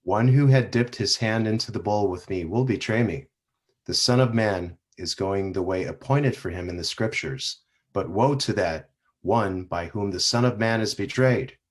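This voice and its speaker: A man reading a solemn, grave Jesus. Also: low-pitched. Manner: solemn, measured. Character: Jesus, grave calm male teacher. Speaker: reader in church passion play. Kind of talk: dramatic scripture reading. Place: church sanctuary.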